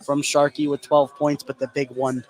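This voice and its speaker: A man calling live basketball play-by-play for radio, an excitable announcer whose voice climbs toward shouting on big plays. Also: fairly high pitch, normal speed. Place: courtside in a school gym. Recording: clear gym noise behind the voice. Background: gymnasium ambience.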